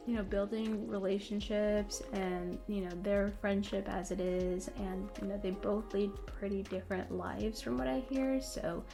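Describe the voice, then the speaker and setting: A young woman talks casually to her viewers in a voice that is slightly hoarse and scratchy. She rambles along with lots of ums and you-knows, laughing at herself now and then. Clear background music plays under her voice. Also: mid-range voice. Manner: rambling, many fillers like um and you know; giggly and self-deprecating. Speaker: book and anime vlogger. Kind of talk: casual chat to camera.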